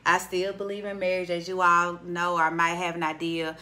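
Bright-voiced chatty woman podcast host. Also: fairly low pitch, moderate pace. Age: 20s. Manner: chatty, expressive, teasing. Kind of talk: casual podcast interview. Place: small indoor studio. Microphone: studio mic on boom arm.

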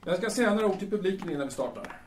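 A man's voice: sits high, lively intonation. A man playing a volatile, grandiose young playwright in an audio drama, agitated and theatrical, repeating himself. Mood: indignant. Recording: distant, echoey room with faint noise.

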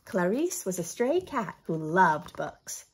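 An American woman in her thirties reading a picture book aloud with expressive, teacherly asides to young children. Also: fairly low pitch, takes it slowly, a little hiss or hum. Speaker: children's story host. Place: small furnished room at home.

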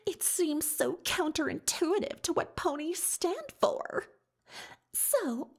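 Cartoon unicorn showgirl magician, high-pitched, slow and drawn-out, soft ASMR hush, theatrical, self-important, full of excuses. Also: heavily acted. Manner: theatrical, self-important, speaks of herself in third person. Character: boastful young female unicorn stage magician, dramatic and self-excusing. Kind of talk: roleplay ASMR, soft-spoken and often whispered.

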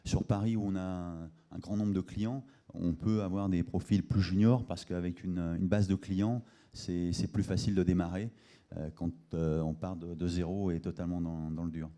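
A middle-aged man in a panel discussion, talking haltingly with repeated words into a handheld microphone. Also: low voice, steady tone, warm tone. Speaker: commercial director, conference panelist. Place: conference auditorium stage.

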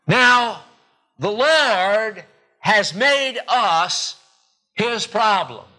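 A man in his sixties preaching a sermon from a church pulpit into podium microphones, emphatic and deliberate, with pauses and repeated phrases. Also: high voice, lively intonation, bright, forceful tone. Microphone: two podium microphones.

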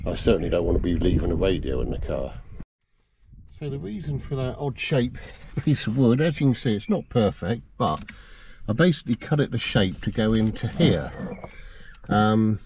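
Mellow, low-voiced middle-aged man. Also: sing-song, normal speed, slight background noise. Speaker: presenter of a car DIY video. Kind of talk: casual how-to demonstration. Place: outdoors in a yard and inside a parked car.

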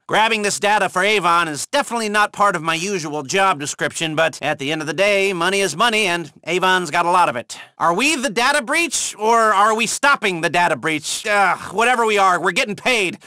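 An actor playing a jittery, sardonic criminal heist planner in a video game, talking casually with nervous, rambling asides. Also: male voice, high-pitched, bright, forceful tone, dry recording, clean studio sound, exaggerated voice. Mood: exasperated, sardonic. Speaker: heist coordinator giving mission briefings.

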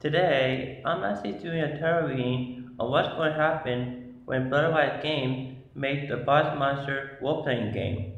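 Male vlogger, casual tarot reader with a halting delivery, recorded on a phone with dull, very reverberant sound. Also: mid-range voice. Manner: halting, repeats words. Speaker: vlogger giving tarot readings. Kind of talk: casual to-camera tarot reading. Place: small bare-walled room, indoors. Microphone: phone at arm's length. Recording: very echoey room, dull lo-fi sound.

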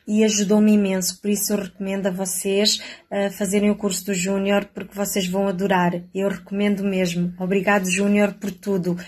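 A woman's voice: medium pitch, darkish tone. A woman with a European Portuguese accent giving an earnest testimonial. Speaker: course student giving a testimonial. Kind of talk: selfie testimonial.

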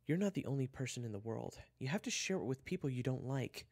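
A man's voice: medium pitch, animated, quick pace, darkish tone, pitched above the performer's own voice. A man voicing a sensible, exasperated schoolgirl who chides and protests in a narrated story. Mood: indignant, exasperated. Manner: chiding, protesting.

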